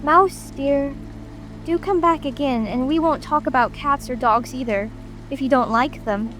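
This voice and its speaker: A woman's voice: sits high, measured pace, fairly bright tone. A woman playing a polite, curious little girl who speaks earnestly and apologetically in a dramatic audiobook. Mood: coaxing. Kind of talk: dramatic audiobook character reading. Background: music, rain ambience.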